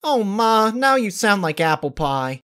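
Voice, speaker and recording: A man's voice: high voice, big pitch swings, measured pace, a bit bright, clean tone, voice pushed up, heavily acted. A man voicing an earnest, fussing young country pony daughter in a story reading, with an eager folksy manner. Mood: mildly exasperated. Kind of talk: dramatized fan-fiction reading.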